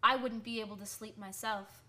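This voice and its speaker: Sharp, superstitious village washerwoman. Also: medium pitch, steady tone, normal speed. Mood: fearful, grave. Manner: folksy, emphatic, scolding. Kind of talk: radio drama acting.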